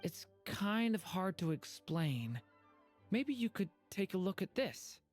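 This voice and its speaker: A woman's voice: mid-range voice, big pitch swings, slow pace, a little mellow, soft background music. A woman playing an earnest, questioning young adventurer in an anime fantasy video game. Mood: hesitant.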